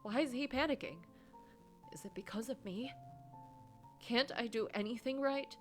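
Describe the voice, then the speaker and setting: An anxious office worker played by a woman narrates a story game with wounded drama. The delivery halts and dwells on guilt and self-loathing, with music softly behind. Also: a little high, normal speed, natural voice. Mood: anxious self-blame.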